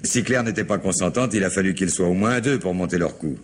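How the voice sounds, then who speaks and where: An actor playing a methodical, insistent police chief in an old French radio crime drama, probing and thinking aloud. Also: male voice, sits low, fast, a little hiss or hum, lightly acted. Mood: grim. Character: gruff, methodical male police chief leading an investigation.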